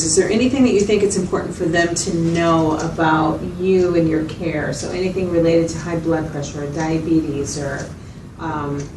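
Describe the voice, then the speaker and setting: A woman's voice: sits low, lightly acted. A woman playing a patient mental health facilitator in a role-played client interview, asking checking questions and summing up with hesitant phrasing. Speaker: clinician facilitator. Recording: roomy, distant mic, loud background noise.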